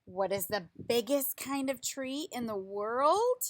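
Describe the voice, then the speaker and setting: A woman reading a picture book aloud like a teacher, in a deliberate, instructive way, putting questions to young listeners. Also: on the high side, very animated, a little hiss or hum.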